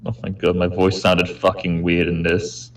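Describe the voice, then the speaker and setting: A man chatting casually on a group stream, thinking aloud in a halting way. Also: sits low, little pitch movement, over a noisy crowd. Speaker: stream participant. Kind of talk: casual chat. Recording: distant mic, faint noise and clicks.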